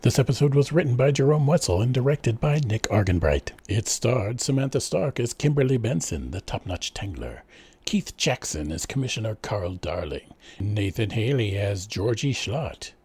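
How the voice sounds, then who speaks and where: A man's voice: medium pitch, sing-song, moderate pace, dark tone, slightly rough. A man narrating and announcing a scripted radio serial.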